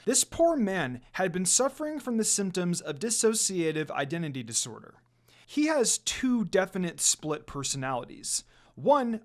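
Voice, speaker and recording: A narrator reading a scripted fan fiction story aloud in an expressive storytelling style. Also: mid-range voice. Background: background music.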